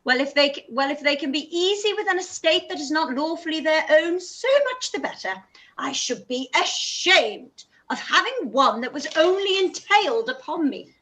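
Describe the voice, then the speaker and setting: A woman's voice: high-pitched, expressive, moderate pace, bright, forceful tone. A woman playing a fussy, dramatic middle-aged mother in a live-read radio play, gushing and complaining. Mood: grumbling.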